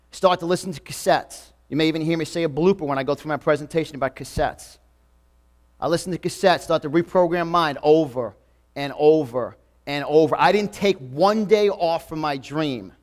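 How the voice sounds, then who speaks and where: A man in his forties with a New York accent, giving an emphatic, repetitive motivational talk to a live audience. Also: fairly high pitch. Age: 40s. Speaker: motivational speaker. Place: event room before a live audience.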